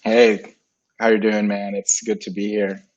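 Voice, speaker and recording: Laid-back low-voiced man, Spanish native. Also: a little slow. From Puerto Rico and Dominican Republic. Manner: relaxed, storytelling, playful. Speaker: guest. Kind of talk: casual interview. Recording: dull lo-fi sound.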